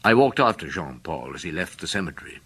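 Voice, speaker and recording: An actor playing an older detective who recalls an old case in reflective, wry radio drama narration, with a husky voice. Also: male voice, deep voice, sing-song, background noise. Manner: reflective, deliberate.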